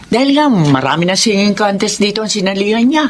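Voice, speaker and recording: Animated, proud middle-aged mother, acted by a woman. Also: mid-range voice, fairly quick, a little hiss or hum, natural voice. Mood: proud, hopeful. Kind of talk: Filipino radio drama acting.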